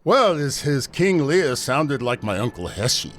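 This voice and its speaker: An actor playing a blunt, wry theater director, exasperated and wisecracking in a mock-documentary interview. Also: male voice, medium pitch, very animated, unhurried, a bit bright, faint music behind. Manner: blunt, wry, repeats words for emphasis.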